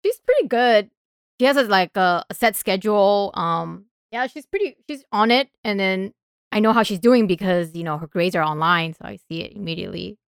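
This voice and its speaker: A woman's voice: mid-range voice, expressive, moderate pace, vocal fry, clean tone, no echo, clean studio sound. A woman talking casually as a podcast guest, informal, giggly and a little self-deprecating. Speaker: podcast guest and group member. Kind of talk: casual chat.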